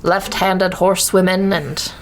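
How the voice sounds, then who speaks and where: A woman's voice: fairly low pitch, fairly even intonation, a little slow. A woman co-hosting a podcast in casual chat, with an irreverent, self-mocking, sweary manner. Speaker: podcast co-host.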